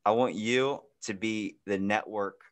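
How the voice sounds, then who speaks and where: A man's voice: lowish voice, steady tone, a little slow. A young adult man chatting casually on a podcast video call. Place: small indoor room, video call.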